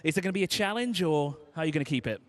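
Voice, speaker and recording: A man reporting live from an outdoor race pit lane, chatty and quick with joking asides. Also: on the high side, lively intonation. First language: English.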